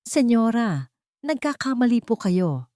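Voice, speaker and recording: A woman's voice: fairly high pitch, sing-song, unhurried, mellow, no echo, clean studio sound, pitched above the performer's own voice. A woman voicing a timid, respectful young servant woman in a story drama, polite and restrained. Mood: restrained protest.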